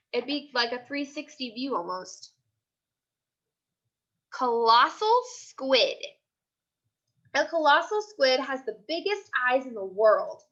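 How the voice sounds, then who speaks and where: American lady teacher doing a read aloud for kids, high bright voice, explains stuff simply, slightly muffled lo-fi audio. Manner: simple explanations, repeating key points for children. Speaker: elementary school teacher. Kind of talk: teaching read-aloud of a picture book with questions and asides.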